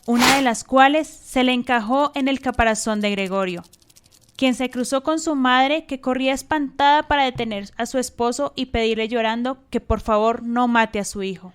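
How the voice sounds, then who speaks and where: Lady narrating a radio play, highish voice, reading the story from a script. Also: moderate pace, a little hiss or hum.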